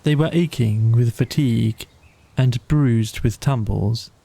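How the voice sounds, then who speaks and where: A man's voice: medium pitch, slow pace, gravelly, warm tone. A man narrating a bedtime audiobook, reading a scripted story.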